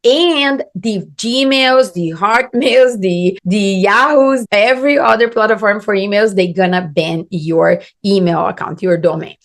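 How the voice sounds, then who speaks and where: A woman's voice: mid-range voice, measured pace, hardly any pauses. A woman from Brazil with a Brazilian Portuguese accent, a business coach giving an earnest, emphatic instructional talk in English as a second language. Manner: earnest, emphatic, non-native grammar.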